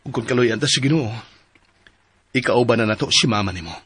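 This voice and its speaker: A gentle, caring father in a Philippine radio drama. His voice is extremely husky and recorded dry, with a Filipino accent. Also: mid-range voice, quick pace, no room sound. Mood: tender.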